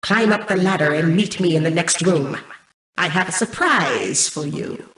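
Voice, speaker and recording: Husky female computer intelligence, arrogant, calculating, formal, measured commands, video game. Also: fairly low pitch, fairly bright tone, rough recording. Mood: cool, commanding. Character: cold, arrogant female mainframe artificial intelligence, calculating and imperious. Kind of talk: scripted video game voice acting.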